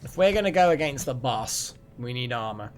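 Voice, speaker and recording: A man's voice: medium pitch, animated, normal speed, slight background noise. A man hosting a live game stream, chatting casually and rambling as he thinks aloud. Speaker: game livestream host. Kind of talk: casual live gaming chat.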